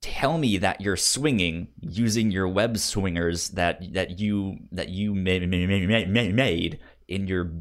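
A man in a casual film debate as a co-host, talking haltingly and often stammering and repeating words. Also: low-pitched.

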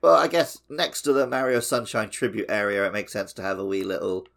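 A man's voice: fairly low pitch, fairly even intonation, a little fast, gravelly, a bit bright. A man co-hosting a gaming podcast, chatting casually in a loose, conversational way. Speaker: podcast co-host.

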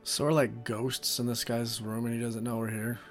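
A man's voice: medium pitch, level tone, moderate pace. A man with a mid-range voice chats casually as a player at a tabletop roleplaying game. He speaks in plain, conversational questions, with faint music underneath. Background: background music.

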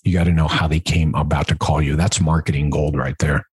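A man's voice: a bass voice, little pitch movement, a little fast, breathy edge, no room sound, studio quality. A man hosting an instructional podcast in a conversational way, stressing and repeating key points like a coach. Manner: emphatic, repeats key points, asks rhetorical check-in questions. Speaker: podcast host and business coach.

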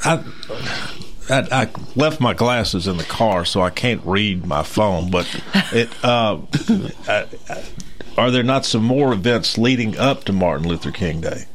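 A man hosting a local radio talk show, introducing guests in a relaxed, halting way with many fillers. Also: lowish voice, slight background noise. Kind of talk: casual radio chat.

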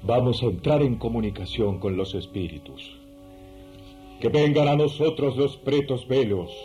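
A man's voice: medium pitch, dark tone, lightly acted. A man acting in a radio drama as a solemn spiritist priest with an incantatory, commanding manner.

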